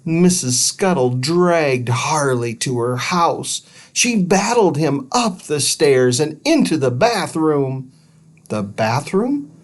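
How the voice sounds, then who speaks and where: Slow, expressive man reading stories. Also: a little high. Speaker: story reader. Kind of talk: animated children's story narration.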